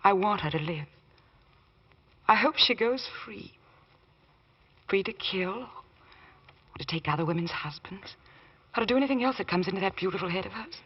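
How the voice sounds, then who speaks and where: An actress playing a lawyer's anguished, loyal wife with earnest, deliberate emotion in a 1940s radio drama. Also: female voice, mid-range voice, lively intonation, husky. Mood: wounded, resolute. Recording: dull vintage radio recording.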